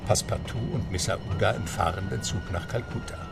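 A man's voice: low voice, fairly quick, gravelly, over loud music. A man narrating an adventure radio drama as a composed storyteller with scripted delivery. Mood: composed, dramatic.

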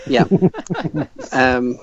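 A man's voice: medium pitch, very animated, extremely slow, creaky. A young man with a Scottish accent, a guest on a podcast, chatting in a good-humored, self-deprecating way. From South Africa. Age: young adult. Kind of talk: casual chat. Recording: dry, dull-sounding mic.

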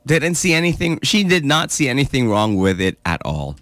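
A man's voice: mid-range voice, very animated, normal speed, fairly bright tone, dry recording. A man from the Philippines co-hosting a radio show in casual chat, slipping between two languages. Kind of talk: casual chat mixing English and Tagalog.

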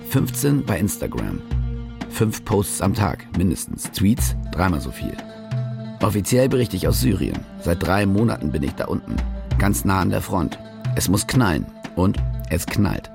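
A man's voice: sits low, vocal fry, heavily acted. An actor playing a cynical, boastful war reporter who narrates his own radio drama in a sardonic, casual way. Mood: smug, sardonic. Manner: sardonic, self-promoting, casual.

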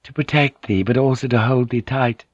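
A man's voice: mid-range voice, fairly even intonation, normal speed, dry recording, dull audio, natural voice. A man voicing a devoted, proud young noblewoman, tender yet fiery, with formal, archaic, earnest speech in an audiobook. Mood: tender, reassuring. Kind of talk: audiobook character dialogue.

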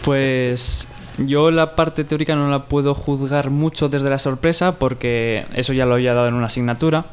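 Joven español de voz limpia y mesurada. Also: male voice, medium pitch, clean tone. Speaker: guest, university student.

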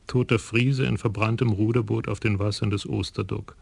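Flat, gravelly male radio-play narrator. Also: on the low side, dry recording. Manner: even, measured storytelling.

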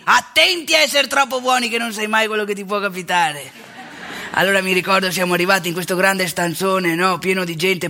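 A woman's voice: medium pitch, gravelly, pushed and bright. A woman playing a wry, wounded old former seminarian priest in a theatrical monologue, talking colloquially and prodding the audience with questions. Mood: aggrieved, then wistful, hurt. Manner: colloquial, teasing, rhetorical questions and tag words. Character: aging Veneto ex-seminarian priest, wry, wounded, ranting storyteller. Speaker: stage monologue actor.

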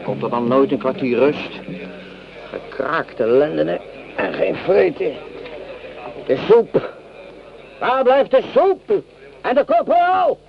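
Bitter, grumbling soldier, swinging wildly in pitch. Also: male voice, big pitch swings, slow pace, vocal fry, long pauses, full character voice. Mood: loud griping. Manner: coarse, sneering complaints. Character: bitter grumbling male French common soldier, hungry and mocking. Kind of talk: Dutch radio drama acting. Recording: dull lo-fi radio play recording.

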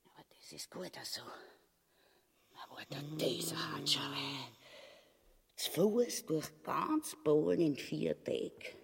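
A man playing a greedy, sharp-tongued, self-pitying wife in a radio play, with a raspy voice and Austrian dialect. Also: a little high, animated, takes it slowly, pauses often, exaggerated voice. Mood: self-pitying, weary. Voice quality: raspy, creaky. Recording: long reverberant hall echo.

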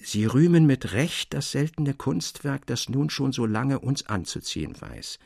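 Storybook part: polite old gentleman, played by a male narrator, formal and knowing, creaky voice, dry studio sound. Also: mid-range voice, lively intonation, unhurried, no room sound, full character voice. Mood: courteous, earnest. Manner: courteous, formal, old-fashioned.

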